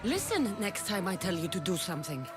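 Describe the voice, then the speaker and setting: Video game heroine, tough young mercenary, dry, protective, animated intonation, clear background music. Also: female voice, on the low side, brisk. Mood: stern, reassuring.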